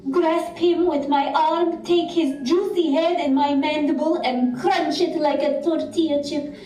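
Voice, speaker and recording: A woman voicing a melodramatic, lustful praying mantis in a live comic puppet show, with theatrical, drawn-out delivery. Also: sits high, fairly even intonation, warm tone. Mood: ravenous relish. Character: melodramatic, hungry, lustful French praying mantis, posing as male. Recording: roomy live stage, distant mic.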